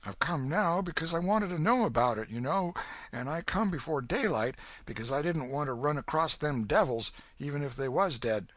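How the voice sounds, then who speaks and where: Frightened ragged boy begging in a high squeaky voice, a guy putting it on, dry sound. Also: expressive, normal speed, fairly bright tone, no echo. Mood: shaken. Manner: high, pleading, breathless. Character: scared vagabond boy, pleading and jumpy.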